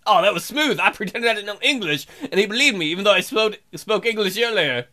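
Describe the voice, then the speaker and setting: Bright, high-voiced man joking through a game. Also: lively intonation, fast talker. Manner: jokey, crude, rambling. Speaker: let's play host. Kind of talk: comic game commentary and read-aloud.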